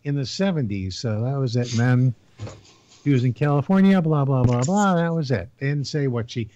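A man's voice: mid-range voice, brisk, dark tone. A man on a casual video-call panel, chatty, reading out facts as he looks them up. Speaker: panel guest. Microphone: webcam on a video call. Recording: dull, muffled video-call audio.

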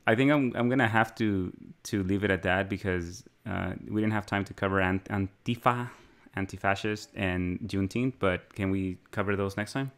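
A man's voice: low voice, moderate pace, fairly bright tone, clear, resonant tone. A man co-hosting a podcast, raised in Mexico, chatting casually in a rambling, musing way and laughing often. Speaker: podcast co-host. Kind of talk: casual chat.

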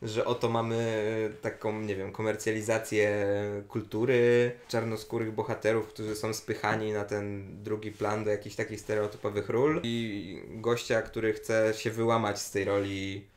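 A man's voice: fairly low pitch, little pitch movement, moderate pace, few pauses. Young man chatting in a roomy space. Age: young adult. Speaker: film festival reviewer. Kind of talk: casual discussion review. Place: small living room, indoors, on a couch. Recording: roomy echo.

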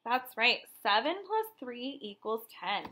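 A woman's voice: fairly high pitch, animated, unhurried, pushed and bright. A woman in her thirties reading aloud and counting for young children as a teacher, in an encouraging, sing-song way.

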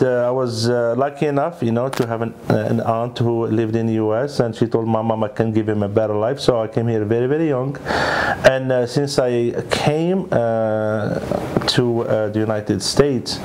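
A middle-aged man from Algeria, a business guest, telling his life story slowly with many filler pauses. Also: medium pitch, darkish tone, slight background noise. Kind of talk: personal story in an interview. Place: small studio with red backdrop.

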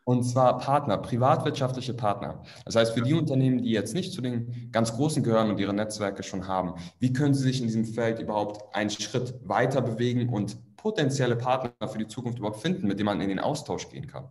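A man's voice: mid-range voice, fast, few pauses, a little mellow. A young man moderating an online panel in German, asking polite, well-structured questions. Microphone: video call webcam.

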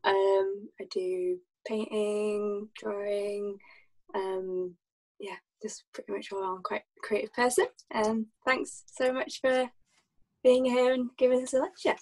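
A woman from the UK introducing herself softly and hesitantly as a creative person on a video call. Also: medium pitch, flat delivery, unhurried, low-quality recording. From United Kingdom. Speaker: art student. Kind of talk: casual self-introduction.

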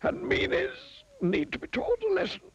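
A man's voice: very high-pitched, huge pitch swings, normal speed, vocal fry, dry recording, highs cut off, voice pushed up, exaggerated voice. A man voicing a pompous, long-winded storybook wizard who is kindly but stern and rambles through qualifications.

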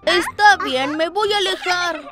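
Little lion cub, boy, for kids' cartoon: extremely high, childlike, eager and whiny, rhyming lines. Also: measured pace, over loud music. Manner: childlike, exclaims in rhyme. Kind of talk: sing-song rhyming children's cartoon acting.

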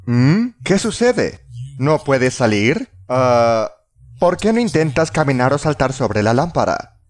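A man's voice: medium pitch, big pitch swings, slow. A man narrating a scripted comedy parody in a sarcastic, exaggerated, exclamatory manner. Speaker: fandub narrator. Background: music.

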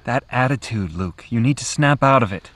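A man playing a calm, self-assured outdoorsman mentor in an audio drama, measured and instructive, given to philosophy. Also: medium pitch, no echo. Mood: firm, earnest. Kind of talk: post-apocalyptic audio drama acting.

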